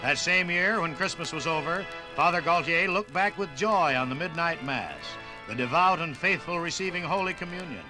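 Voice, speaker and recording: A man's voice: fairly high pitch, moderate pace, hardly any pauses. A man narrating a scripted 1950s radio documentary with dramatic, oratorical phrasing. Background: music.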